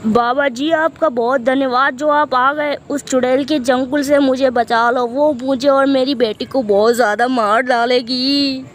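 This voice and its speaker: A woman's voice: high-pitched, fairly even intonation, measured pace, hardly any pauses, a little hiss or hum. Woman voicing a scheming jealous teacher. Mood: pleading. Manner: scheming, then pleading in fear.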